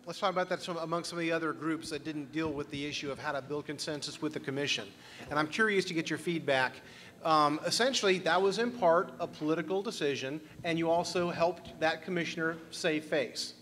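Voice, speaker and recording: A man facilitates a workshop in a conference room and keeps drawing the room in with questions. His voice is bright and sits a little high. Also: a little fast. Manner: questioning, inviting the room to respond. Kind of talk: leading a group discussion.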